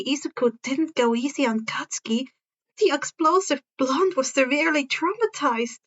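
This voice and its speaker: High-voiced woman reading a story, unhurried. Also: fairly bright tone, muffled recording. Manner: stumbles over and repeats words. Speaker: fan fiction narrator.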